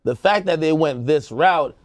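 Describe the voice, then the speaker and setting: A man's voice: a little high, measured pace, no echo. Man in slangy, dry-sounding music talk. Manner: conversational, slangy, thinking aloud. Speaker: co-host of a music news show. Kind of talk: casual panel discussion, slangy commentary.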